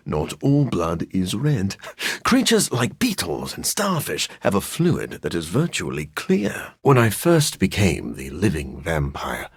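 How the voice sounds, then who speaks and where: Game casting: a scholarly living vampire, low husky voice, formal, wry and brooding. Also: male voice, dry recording, studio quality, heavily acted. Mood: confident, scholarly. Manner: formal, articulate, dryly wry. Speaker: video game character. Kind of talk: video game voice acting.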